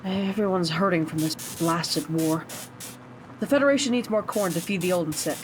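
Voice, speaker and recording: A woman's voice: lowish voice, normal speed, a little hiss or hum, lightly acted, rough recording. An actress playing an earnest, dutiful and impatient younger village woman in an audio drama, with slightly formal phrasing. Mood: grave.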